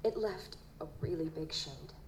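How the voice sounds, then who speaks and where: A woman playing an earnest, gentle psychic in an audio drama, speaking in a hesitant, solemn manner. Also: deep voice, unhurried. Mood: somber, thoughtful. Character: earnest, gentle female psychic who reads ghostly impressions. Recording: live performance with background noise.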